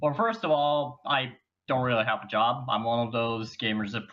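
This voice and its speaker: A man's voice: mid-range voice, normal speed, a bit bright, a little hiss or hum. A young man from Bulgaria, a student, talking about himself in a rambling, casual way. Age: young adult. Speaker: player. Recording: dull lo-fi call audio.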